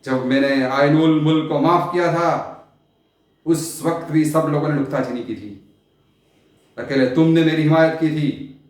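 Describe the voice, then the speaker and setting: An actor playing a world-weary, eccentric sultan in a stage monologue, grand and theatrical, with long dramatic pauses. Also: male voice, fairly high pitch, close to an everyday voice. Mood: troubled, reflective. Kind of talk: Hindi stage play monologue, poetic Urdu diction. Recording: very echoey, reverberant room.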